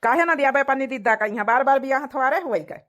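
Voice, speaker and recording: A woman's voice: high-pitched, lively intonation, fast, a bit bright, muffled recording. A woman voicing a doting, reassuring young village groom in a cartoon, coaxing and repetitive, with an Awadhi accent. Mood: cheerful. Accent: Awadhi, rural north India. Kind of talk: cartoon comedy dialogue.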